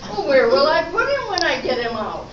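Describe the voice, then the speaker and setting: An unbothered diner waitress, acted by a woman in an amateur radio comedy, speaking matter-of-factly. The voice is high and distant, dulled by the room, with loud noise and echo around it. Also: big pitch swings, fairly quick. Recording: very noisy echoey hall, distant mic, dull.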